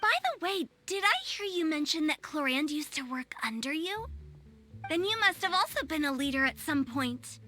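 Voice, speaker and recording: A woman's voice: sits high, lively intonation, moderate pace, pushed and bright, faint music behind. A woman voicing a playful, teasing girl with a taste for the spooky, theatrical in a video game style. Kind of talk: video game character acting.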